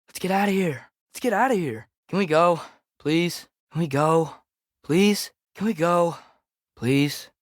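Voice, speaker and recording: A restless teenage boy adventurer speaks in a fairly high voice with an American accent. His delivery is casual, hesitant and understated, like a companion in a video game. The sound is very dry, with no room on it. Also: no echo. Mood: impatient, restless. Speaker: game character voice actor.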